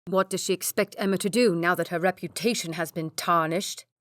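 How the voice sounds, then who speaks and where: A woman's voice: lowish voice, fairly even intonation, fairly quick, dry recording, natural voice. A woman voicing an angry young nobleman who keeps himself in check and speaks curtly, in a storybook reading. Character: bruised, angry young nobleman holding back.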